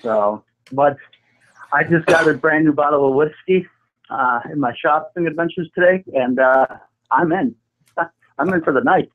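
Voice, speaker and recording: A man from the Buffalo area calling in to a sports show, laid-back and chuckling, with halting restarts. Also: on the high side. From Buffalo area, New York. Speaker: call-in guest. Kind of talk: casual phone-in chat. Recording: tinny phone line.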